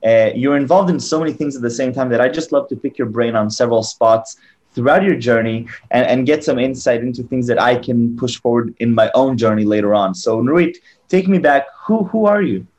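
A man with a medium-pitched voice hosts an interview. He talks conversationally and effusively, often restarting a phrase as he builds a question. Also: a little fast, a little mellow. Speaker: interview show host.

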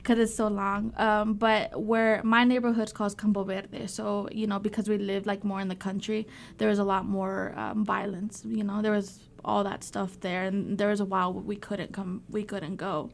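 A woman's voice: mid-range voice, flat delivery, moderate pace, vocal fry, few pauses, a little hiss or hum. A young woman in her twenties from El Salvador, a podcast guest telling long personal stories in a chatty way. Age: 20s. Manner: chatty storyteller with many fillers. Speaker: guest, community organization worker. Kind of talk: conversational interview.

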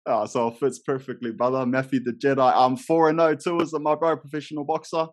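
A man's voice: mid-range voice, flat delivery, fast talker, dry recording, clean studio sound. A man hosting an interview in a laid-back way, calling the guest bro and brother and filling gaps with um. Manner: laid-back, calls everyone bro and brother, many fillers. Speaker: podcast host. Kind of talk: casual interview.

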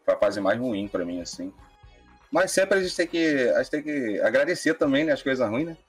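A relaxed man, talking in a slangy, easygoing way with plenty of fillers. His voice sits at an ordinary pitch for a man. Faint music plays underneath. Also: moderate pace, breathy edge. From Mesquita, Rio de Janeiro state, Brazil. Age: 30s. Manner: relaxed, slangy, laid-back with many fillers. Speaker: podcast guest. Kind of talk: casual interview chat.

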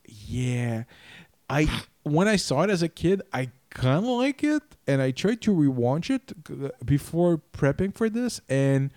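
Halting man in casual film talk, dry sound. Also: a little high, very animated, darkish tone, dry recording. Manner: halting, often restarts sentences mid-thought. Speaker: podcast co-host.

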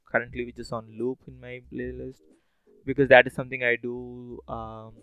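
A man's voice: mid-range voice, a bit bright, smooth, poor audio. A man hosting a podcast solo, talking casually in a rambling, hesitant, informal way. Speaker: podcast host.

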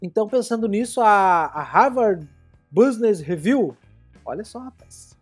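A woman's voice: mid-range voice, animated, measured pace, breathy edge, faint music behind. A woman co-hosting a podcast, explaining things in a rambling, casual way with many restarts and tag questions. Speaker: podcast co-host. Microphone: live streamed podcast.

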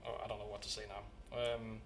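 A man's voice: fairly low pitch, little pitch movement, vocal fry, a little hiss or hum. Danish man groping for English words. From Denmark. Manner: halting, slips into Danish words. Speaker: band member.

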